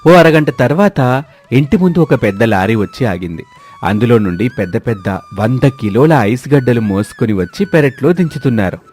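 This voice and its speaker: A man's voice: medium pitch, expressive, normal speed, a little mellow, faint music behind. A man narrating a scripted cartoon story as its storyteller.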